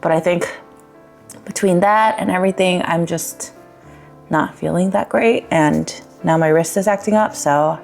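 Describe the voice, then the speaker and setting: A young woman giving a candid personal vlog update, rambling a little with fillers, her voice slightly raspy. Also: lowish voice. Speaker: vlogger.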